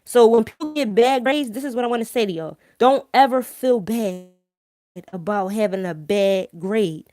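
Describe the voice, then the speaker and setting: A woman's voice: medium pitch, a little mellow, no room sound. Philly lady livestreaming her opinions, real blunt, cusses a lot, slangy, close dry sound. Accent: Philadelphia. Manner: blunt, emphatic, slangy, freely swearing; repeatedly checks listeners follow with tag questions. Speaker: online live-stream host. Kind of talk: informal opinionated talk to viewers.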